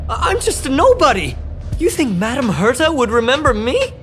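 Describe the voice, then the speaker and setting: A man's voice: very high-pitched, moderate pace, heavily acted. An actor playing a nervous, self-deprecating male engineer in a sci-fi video game, earnest and hesitant. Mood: eager, modest. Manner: hesitant, stammering, earnest and excitable. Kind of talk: expressive video game dialogue acting. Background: background music.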